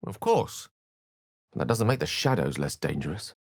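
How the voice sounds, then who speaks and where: An erudite video game wizard with a lowish, very animated voice. He is courteous and wry, phrasing everything with theatrical eloquence. The recording is close and dry. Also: moderate pace, gravelly, no room sound, full character voice. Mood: reflective. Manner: eloquent, articulate, theatrical, wordy. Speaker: video game character voice actor.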